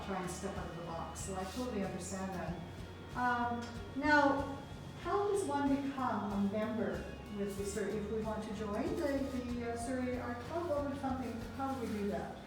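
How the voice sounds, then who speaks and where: Chatty upbeat woman interviewer, pretty high voice, echoey and far off. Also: animated, moderate pace. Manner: chatty, enthusiastic. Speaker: interviewer and producer. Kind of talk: casual interview. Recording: echoey room, far from mic, with music.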